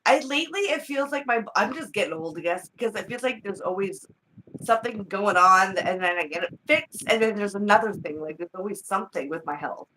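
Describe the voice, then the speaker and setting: A woman joining a casual live chat as guest reader, chatty and slightly croaky, trailing off with fillers. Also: medium pitch, expressive, a little fast, bright, forceful tone, slight background noise, poor audio. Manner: chatty, trailing off with many likes and laughs. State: slightly croaky from a swollen throat.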